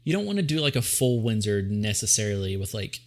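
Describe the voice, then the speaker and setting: A man's voice: lowish voice, very animated, fairly quick, a loud crowd. A young man from East Tennessee with a light East Tennessee accent, co-hosting a podcast in a chatty, laughing way. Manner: chatty, laughs often, lots of fillers. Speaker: podcast co-host. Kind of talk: casual chat.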